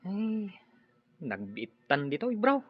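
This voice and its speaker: An animated, higher-voiced male coworker in a radio drama. He is reluctant and mildly complaining, with swinging intonation. The recording is slightly muffled. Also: slow, slightly rough, dry recording, full character voice. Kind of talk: Ilocano radio drama acting. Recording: dull, lo-fi audio.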